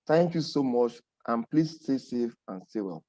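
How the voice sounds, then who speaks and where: A man's voice: medium pitch, lively intonation, a little slow, warm tone. A man hosting a webinar over a video call, courteous and welcoming. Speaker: host.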